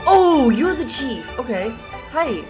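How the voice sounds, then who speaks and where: A woman's voice: high-pitched, very animated, slow, music in the background. A young woman giving casual, blunt reaction commentary as a game streamer, slangy and prone to swearing.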